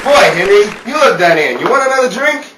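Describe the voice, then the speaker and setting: A man playing a jittery, excitable pal who dodges trouble, in theatrical old-time radio comedy style. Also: high voice, quick pace, a crowd in the background. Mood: breezy. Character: Mason, jittery excitable male buddy dodging trouble. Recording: roomy, distant, noisy amateur radio play.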